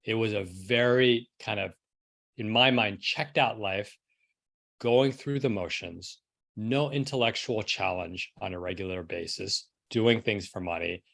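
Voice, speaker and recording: Low, creaky-voiced middle-aged man, podcast guest. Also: unhurried, a bit bright. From New York City, United States. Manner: casual, frequent "like" and rhetorical "right?". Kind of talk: conversational personal storytelling.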